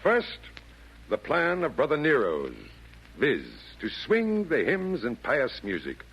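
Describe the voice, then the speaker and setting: An actor playing a wry, pompous Devil chairing a meeting, in theatrical 1940s radio comedy style. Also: male voice, fairly high pitch, wildly swooping pitch, slow pace, husky, full character voice. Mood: commanding. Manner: theatrical, formal rhyming speech with mock hesitation. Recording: old 1940s radio broadcast, slightly muffled.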